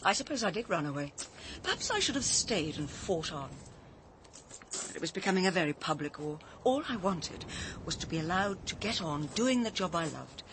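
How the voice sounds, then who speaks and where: An actress playing a reflective, principled former schoolteacher in a radio drama, speaking thoughtfully with an English accent. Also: female voice, lowish voice, sing-song, normal speed. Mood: wistful. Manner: thoughtful, earnest, articulate. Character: reflective former schoolteacher, principled woman living in coastal retreat. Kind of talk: naturalistic radio drama acting. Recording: clear outdoor ambience noise behind the voice.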